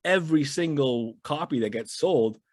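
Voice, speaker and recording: A man's voice: a little high, moderate pace, dry recording, clean studio sound. A man talking as a guest on a podcast, in casual and emphatic chat that often repeats words as he starts.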